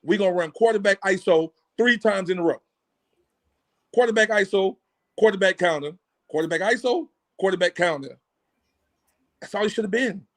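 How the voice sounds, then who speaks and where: A man's voice: sits high, normal speed, long pauses. A man, a football coach, breaking down plays in casual talk and animatedly acting out what coaches say in the huddle. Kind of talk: casual sports analysis.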